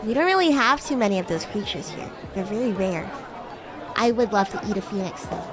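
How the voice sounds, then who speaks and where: A woman livestreaming in character as a bratty, playful young demon classmate, chatting in a giggly way with drawn-out, hesitant words. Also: mid-range voice, lively intonation, crowd noise. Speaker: VTuber streamer.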